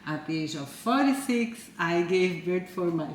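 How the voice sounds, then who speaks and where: A woman from Brazil in her forties, a native Portuguese speaker talking in English with a Brazilian accent, speaking haltingly with fillers and soft laughs in a casual chat at home. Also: on the low side, animated, distant mic. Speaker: co-host. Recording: echoey room, a bit off-mic, faint noise.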